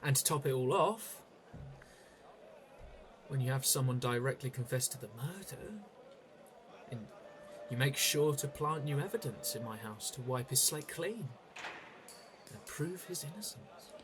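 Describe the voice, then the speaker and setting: A man's voice: mid-range voice, expressive, unhurried, pauses often, over music, lightly acted. An actor playing a bitter, sarcastic detective jailed for a murder he was framed for, accusing and biting, in an audio drama. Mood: grim, accusing.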